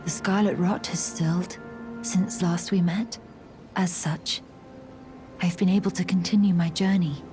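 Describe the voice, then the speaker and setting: An actress playing an earnest, stoic young swordswoman in a fantasy game, formal and measured, with breathy phrase endings. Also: lowish voice, steady tone, music in the background, close to an everyday voice. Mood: calm, reflective. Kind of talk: dramatic fantasy game acting.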